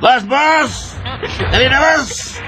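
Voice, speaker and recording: A man's voice: very high voice, slow. A man with a Bisaya accent acting in a radio drama as an agitated, fawning gang henchman. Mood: urgent, agitated. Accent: Filipino Bisaya. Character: excitable gang henchman, fawning to his boss. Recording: loud music and crowd noise, distant voice.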